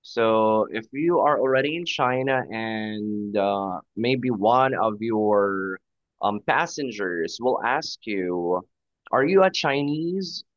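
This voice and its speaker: A man's voice: on the low side, lively intonation, takes it slowly, no room sound, dull audio. Patient male English tutor on a call. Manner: encouraging, patient, gives example phrases. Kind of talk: one-on-one conversation lesson.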